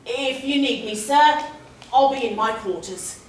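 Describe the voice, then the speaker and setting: A woman playing a nervous, over-dramatic butler in a theatrical murder-mystery farce, speaking in a flustered, halting way. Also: a little high, close to an everyday voice. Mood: formal, nervous. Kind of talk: theatrical farce stage reading. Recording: distant echoey room, faint noise.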